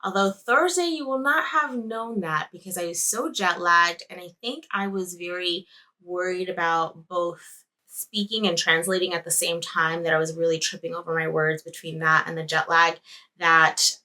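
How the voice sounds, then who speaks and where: A chatty woman podcaster whose first language is Spanish, her voice bright and forward, sitting a touch low in pitch. She rambles through her story, laughing now and then and correcting herself mid-sentence. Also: moderate pace, rough recording. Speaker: podcast host. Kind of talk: casual travel recap.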